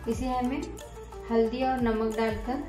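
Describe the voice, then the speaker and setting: A woman hosting a cooking channel, explaining each recipe step in a plain, steady instructive voice-over. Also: on the high side, a little slow, roomy sound, music in the background.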